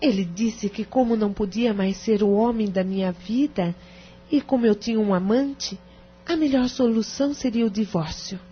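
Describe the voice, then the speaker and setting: A woman's voice: mid-range voice, moderate pace, slight background noise, lightly acted. A Brazilian woman playing a distressed, sincere wife in an audio drama, speaking formally and earnestly. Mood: earnest, pleading. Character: distressed, sincere wife pleading her case to a lawyer. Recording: dull, muffled radio drama recording.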